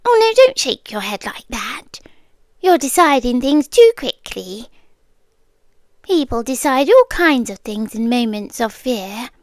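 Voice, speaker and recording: A woman playing a soft-spoken obsessive girlfriend. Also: high-pitched, no echo, clean studio sound, full character voice. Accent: British. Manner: soft-spoken, drifting into whispers, gently unsettling. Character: obsessive young woman girlfriend, calm, doting and possessive. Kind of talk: ASMR roleplay.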